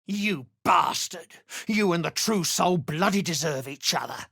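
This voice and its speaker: Gruff, desperate miner, swinging pitch. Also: female voice, animated, unhurried, a bit bright, dry recording, clean studio sound, full character voice. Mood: bitter, defiant. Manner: urgent, gruff, emotionally intense. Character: desperate, tough female miner held captive underground. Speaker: video game character voice. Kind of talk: scripted game character lines.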